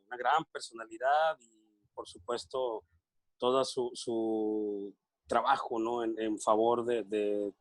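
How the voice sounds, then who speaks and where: A Mexican man co-hosting an online talk, speaking informally and courteously with halting phrasing. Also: mid-range voice, no room sound. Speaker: co-host relaying audience messages. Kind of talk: informal live-stream co-hosting.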